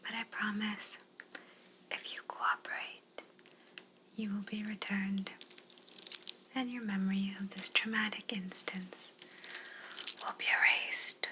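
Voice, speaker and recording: A woman playing a polite, curious alien examiner in an ASMR roleplay, speaking in a soft breathy whisper with a soothing, clinical manner. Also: mid-range voice, flat delivery, close to an everyday voice, low-quality recording. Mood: soothing, reassuring. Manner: slow, soothing, clinical, courteous.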